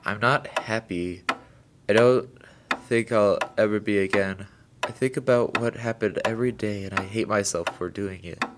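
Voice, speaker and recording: A man's voice: on the low side, normal speed, lightly acted. An actor playing a miserable, remorseful young man in an audio drama, earnest and subdued. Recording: clear background noise.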